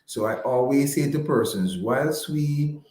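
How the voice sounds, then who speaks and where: A man speaking as an interview guest, measured and courteous, with slightly formal wording. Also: medium pitch, animated, darkish tone, roomy sound. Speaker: interview guest, financial advisor. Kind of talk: conversational radio interview.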